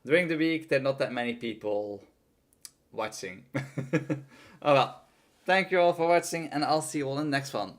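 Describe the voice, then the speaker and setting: A man's voice: mid-range voice, normal speed, pauses often. Tired-voiced man muttering while coding. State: voice worn and tiring. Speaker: livestreaming programmer. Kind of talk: casual live coding stream.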